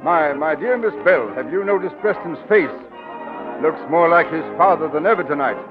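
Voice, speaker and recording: Actor as courtly older gentleman, tinny radio sound. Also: a little high, animated, normal speed, lightly acted. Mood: courtly, worried. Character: courtly older Southern gentleman, fussily indignant. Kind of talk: 1940s radio drama acting. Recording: tinny 1940s radio broadcast, music behind.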